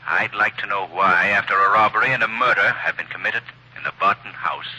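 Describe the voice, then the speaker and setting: An invisible crime-fighter interrogates with measured, menacing calm. His voice is low and husky but bright on top. It sounds like a vintage radio thriller. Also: muffled recording, heavily acted. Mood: commanding. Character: mysterious invisible male crime-fighter, cool and commanding. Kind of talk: 1940s radio acting.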